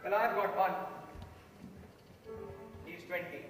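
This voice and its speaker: A man's voice: sits high, slow, over music. A young Indian-accented man playing a bitter, hot-tempered middle-aged father in an amateur stage play. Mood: bitter, wounded. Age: young adult. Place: large auditorium stage. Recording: echoey hall, distant camera, loud background sound.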